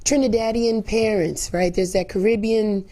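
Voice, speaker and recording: A hoarse woman hosting an interview show, chatting casually and showering her guest with encouraging affirmations. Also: mid-range voice, steady tone, normal speed, dark tone, poor audio. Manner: effusive, lots of encouraging affirmations. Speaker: host.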